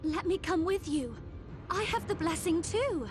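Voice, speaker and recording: A woman voicing a gentle, earnest young girl in a video game, with a pleading, anxious-to-help delivery. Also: very high voice, animated, measured pace, music in the background. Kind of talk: video game cutscene acting.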